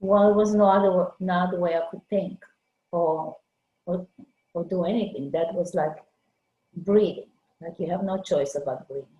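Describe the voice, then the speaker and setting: Low-voiced older woman, soft and halting. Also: echoey room, narrow, tinny audio, slight background noise. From Lithuania. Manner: halting, searching for words. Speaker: guest artist. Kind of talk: conversational interview. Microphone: video call.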